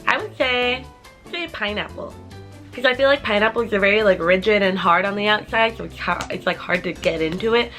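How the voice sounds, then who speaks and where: A young woman in her twenties chats straight to her camera, answering viewer questions one after another. She rambles freely and drops "like" into most sentences. Her pitch sits in a typical middle range, and faint music plays underneath. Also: a little fast, a bit bright. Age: 20s. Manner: chatty, rambling with many "like" fillers. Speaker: vlogger. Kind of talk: casual Q&A chat to camera.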